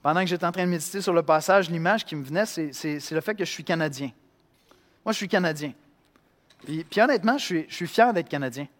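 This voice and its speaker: A man from Quebec with a Québécois accent, preaching a sermon in an animated way, acting out voices and swinging between loud and hushed. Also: on the high side, fast talker, long reverb tail. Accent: Québécois French. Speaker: pastor.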